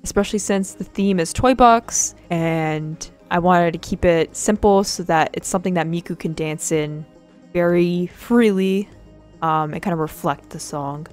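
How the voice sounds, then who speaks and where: A woman's voice: fairly low pitch, measured pace, a little mellow. A woman casually walking through her own character design work, rambling and self-deprecating, with lots of fillers. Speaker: artist and channel host. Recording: dry close mic with faint music behind.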